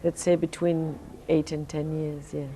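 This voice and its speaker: A Belgian woman with a French accent speaks in a low, mellow voice, her answers halting and full of restarts. She sounds sleepy, as though fighting off tiredness, and a faint hiss sits under the recording. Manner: relaxed, halting, many restarts and fillers. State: sleepy, fighting off jet lag. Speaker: singer, interview guest. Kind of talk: casual interview answers.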